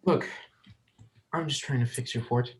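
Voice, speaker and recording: A man's voice: medium pitch, a little slow, lightly acted. A man playing an awkward, defensive psychologist with a hesitant, dry manner in a dramatic script reading. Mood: puzzled, earnest. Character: awkward, defensive adult psychologist working with young children.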